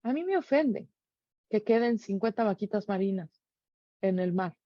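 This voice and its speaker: A woman's voice: mid-range voice, lively intonation, moderate pace, no room sound, highs cut off. A middle-aged woman with a Mexican accent giving an earnest reflective monologue, full of rhetorical questions. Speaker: speaker sharing reflections.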